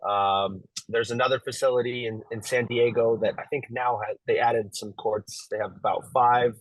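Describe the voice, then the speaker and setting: A young man from Las Vegas, a pro pickleball player, chatting as an interview guest in a relaxed, easygoing way. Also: mid-range voice, moderate pace, no echo, rough recording. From Las Vegas, USA. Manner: relaxed, easygoing, lots of fillers and light laughs. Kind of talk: casual interview talk.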